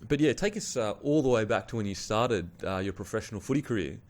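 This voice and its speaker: A man's voice: fairly low pitch, animated, fast talker. A man with an Australian accent hosting a casual interview, asking loose, friendly questions. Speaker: podcast host.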